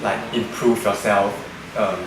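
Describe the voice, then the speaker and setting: A man's voice: fairly low pitch, flat delivery, a little slow, a crowd in the background. Hesitant male interviewer in an echoey room. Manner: hesitant, many fillers. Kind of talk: casual interview questions. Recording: echoey room from far, with background noise.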